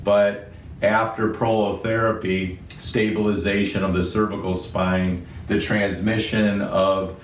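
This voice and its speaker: A male doctor with a booming voice, calmly explaining a medical condition in an unscripted talk. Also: measured pace, far from the mic, slight background noise. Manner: steady, methodical explaining in medical terms. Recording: roomy echo, somewhat off-mic, faint ambience.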